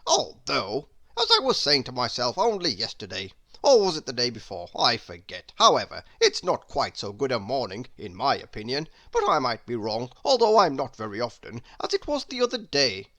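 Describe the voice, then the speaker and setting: A woman's voice: extremely low pitch, very animated, moderate pace, hardly any pauses, fairly bright tone, full character voice. A woman voicing a rambling, chattering storybook man who keeps second-guessing himself. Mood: rambling, chatty. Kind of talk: children's storybook character voice.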